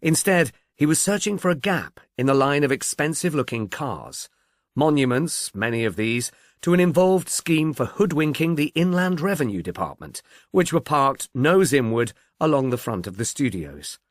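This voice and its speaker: A man's voice: on the high side, big pitch swings, normal speed, no room sound, studio quality. A man reading scripted literary narration as an audiobook narrator. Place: close, dry recording.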